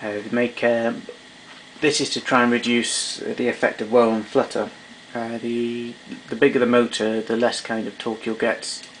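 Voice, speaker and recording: A man's voice: medium pitch, flat delivery, moderate pace, slight background noise, poor audio. A man giving an unscripted technical demonstration in a matter-of-fact, somewhat hesitant way. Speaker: demonstrator of vintage tape recorder.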